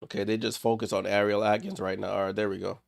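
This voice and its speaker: Man, gravelly fry in the voice, dry close sound, casual play-by-play, short clipped calls, slightly sick and congested. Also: on the low side, little pitch movement, fast, no room sound. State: under the weather, a bit congested. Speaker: watchalong stream host. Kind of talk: casual live play-by-play commentary.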